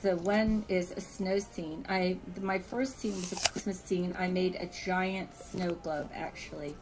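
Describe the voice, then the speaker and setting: A woman's voice: fairly low pitch, steady tone, a little slow, noisy background. A woman from California with an American accent, chatting informally and chuckling as she gives a tour of a world she built. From California, USA. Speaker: virtual world builder giving a tour. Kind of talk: casual tour chat.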